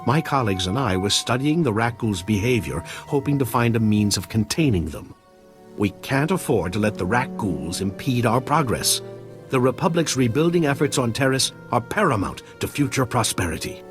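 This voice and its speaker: Man playing an expressive, earnest awkward scientist. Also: mid-range voice, moderate pace, music in the background, natural voice. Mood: earnest, determined. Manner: stiff, bookish, formal phrasing. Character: earnest, socially awkward male research scientist. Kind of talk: video game acting.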